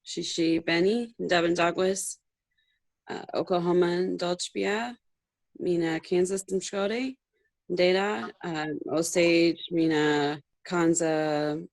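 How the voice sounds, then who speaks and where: A woman giving a measured, deliberate introduction on a video call. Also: lowish voice, fairly even intonation, a little mellow, dry recording. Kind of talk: formal introduction.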